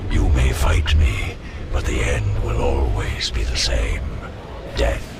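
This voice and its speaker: Video game villain: ancient death spirit, gravelly and drawn-out, grandiose, archaic, accusing menace. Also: male voice, exaggerated voice. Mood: ominous. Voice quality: very deep, gravelly. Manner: solemn, archaic, grandiose pronouncements. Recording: loud music under the voice.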